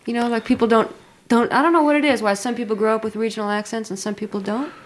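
A woman's voice: a little high, steady tone, quick pace. A young woman from Philadelphia hosting an interview, asking curious, hesitant questions. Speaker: interviewer host. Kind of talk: talk-show interview.

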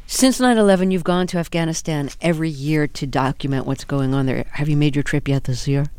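Smooth-voiced radio host, dry studio. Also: male voice, a little high, a little mellow, no echo. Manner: measured, clear questioning. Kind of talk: radio interview hosting.

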